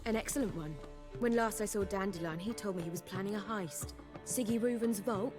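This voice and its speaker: A woman's voice: mid-range voice, a little fast, over music, natural voice. An actress playing a witty, poised female bard, articulate and wry, in a dramatic fantasy video game. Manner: articulate, wry, theatrical wording.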